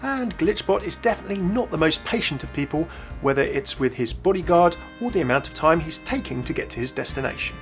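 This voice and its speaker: Higher-pitched man narrating a gaming video. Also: animated, quick pace. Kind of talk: scripted narration. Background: background music.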